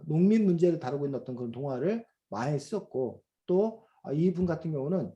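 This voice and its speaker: Middle-aged male teacher, slightly high but mellow, explanatory and patient, many fillers, video-call sound. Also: animated, fairly quick, dull audio. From South Korea. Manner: explanatory, many fillers, asks students questions. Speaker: reading teacher. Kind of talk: online class lecture to children.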